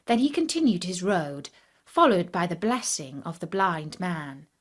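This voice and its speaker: A woman's voice: fairly low pitch, big pitch swings, a little slow. A woman reading a scripted fairy tale for children as a storyteller.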